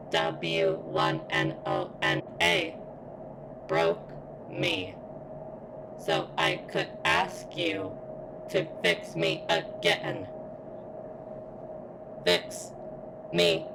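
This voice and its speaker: A woman playing a sentient female robot in constant pain for an ASMR roleplay, speaking in a strained, halting, formal and deliberate way. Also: rumbling low, noisy background, full character voice. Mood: pained, pleading. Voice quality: strained, creaky. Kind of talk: ASMR roleplay, scripted monologue.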